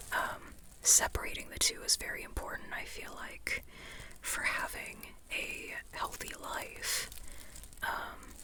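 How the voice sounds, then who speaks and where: A person whispering an informal, rambling ASMR book chat in a breathy, unvoiced voice, like a friend talking on and on. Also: very high-pitched, sharp tone, low-quality recording. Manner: informal, rambling, often checking in with "you know". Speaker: book reviewer and artist.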